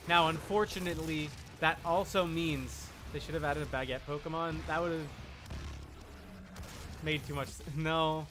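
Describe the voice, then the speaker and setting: A man giving casual, deadpan joking live commentary while playing a video game. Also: on the high side, normal speed. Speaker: game streamer. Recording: clear background noise.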